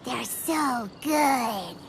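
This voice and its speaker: A woman voicing a small, childlike, chirpy fairy companion in a video game, excitable and talking about herself in the third person. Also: on the high side, extremely slow, vocal fry, very noisy, exaggerated voice. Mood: cheerful, chatty.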